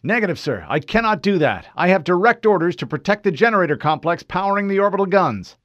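A man's voice: high-pitched, sing-song, fast, a bit bright, no room sound, lo-fi sound, voice pushed up. A man voicing a disciplined male soldier who leads a squad and speaks in formal military radio talk. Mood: controlled.

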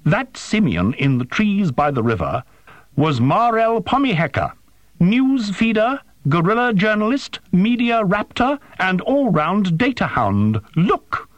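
Fussy audiobook robot drone: high-pitched, sputtering, indignant, wildly swooping delivery. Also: male voice, huge pitch swings, takes it slowly, no room sound, dull audio, full character voice. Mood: furious scolding. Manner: exasperated, sputtering, scolding. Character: fussy, shrill, indignant male drone machine. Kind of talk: audiobook character voice.

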